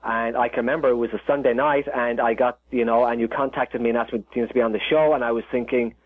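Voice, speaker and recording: A man's voice: medium pitch, fairly even intonation, fast, no room sound. A man with an Irish accent, a chef talking as a guest in a casual interview, rambling with many restarts and fillers. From Ireland. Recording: tinny phone line.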